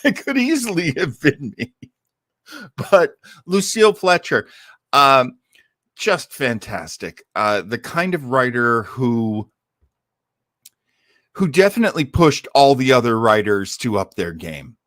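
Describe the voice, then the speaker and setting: A man's voice: medium pitch, slow, dry recording, clean studio sound. A man hosting a listening party with effusive, enthusiastic live commentary. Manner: animated, effusive. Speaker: host of radio drama listening party.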